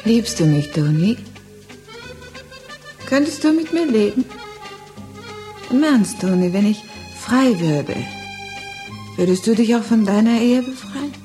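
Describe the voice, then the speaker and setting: A high, soft-toned and very slow voice of a yearning lover, lingering and possessive. She pleads intimately over music, in sixties German radio style. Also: lively intonation. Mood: yearning, urgent. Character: intense, yearning female lover, tender and possessive. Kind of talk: 1960s German radio play acting.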